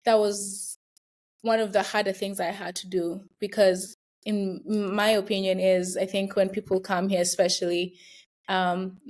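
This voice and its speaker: A woman's voice: mid-range voice, flat delivery, unhurried, a little mellow. Young adult woman, Kenyan accent, interview guest, reflective and chatty, mid-range voice. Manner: chatty, reflective, frequent fillers and laughs. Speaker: guest, university student. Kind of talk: casual interview conversation.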